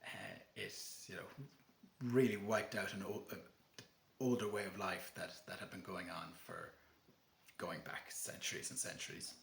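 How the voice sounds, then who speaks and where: Low-voiced Irish man, casual talk. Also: moderate pace, gravelly, reverberant. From Ireland. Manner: relaxed, many fillers and restarts. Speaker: book channel host. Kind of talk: casual talk and poetry reading.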